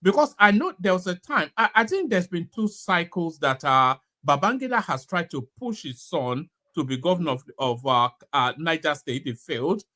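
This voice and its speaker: A man's voice: on the high side, lively intonation, normal speed, few pauses, bright, forceful tone. A man co-hosting a casual political discussion, often stammering and repeating his words as he starts.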